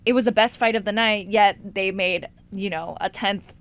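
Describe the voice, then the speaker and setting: A young woman co-hosting a casual podcast, telling things in an animated way and acting out what other people say. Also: medium pitch, moderate pace, clear, resonant tone, no room sound. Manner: animated storyteller, acts out quoted voices. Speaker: podcast co-host. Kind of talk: casual chat.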